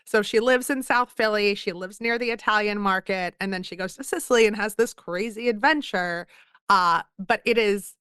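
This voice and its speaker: A woman's voice: medium pitch, a bit bright, clean tone, no echo, clean studio sound. A woman in her thirties from Philadelphia, a guest author talking in a casual podcast interview, chatty and self-deprecating as she tells stories.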